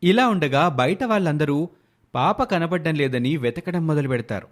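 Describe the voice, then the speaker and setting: A male storyteller narrates a moral tale from a script. His voice sits a little higher than most men's. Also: lively intonation, normal speed.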